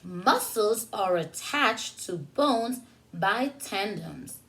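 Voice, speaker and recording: Slow, lively woman teaching preschool story time. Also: mid-range voice, a little hiss or hum, low-quality recording. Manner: instructional, asks questions and pauses for answers. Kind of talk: read-aloud story time for young children with teaching asides.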